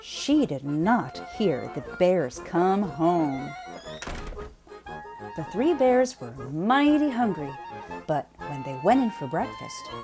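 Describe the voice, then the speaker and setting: A woman reading a children's picture book aloud in a playful, expressive way, drawing out words for emphasis. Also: fairly low pitch, slow pace, over music. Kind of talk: animated children's read-aloud narration.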